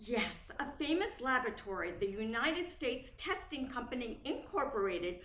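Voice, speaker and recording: A woman's voice: fairly high pitch, big pitch swings, moderate pace, fairly bright tone. A woman playing a persuasive 1940s radio commercial announcer, emphatic and salesy. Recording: distant, echoey, faint noise.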